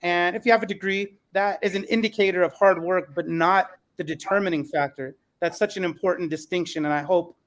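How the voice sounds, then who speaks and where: A man's voice: high-pitched, fairly even intonation, a little fast, hardly any pauses, fairly bright tone. A man hosting a podcast panel as an educator, speaking in an earnest, emphatic way.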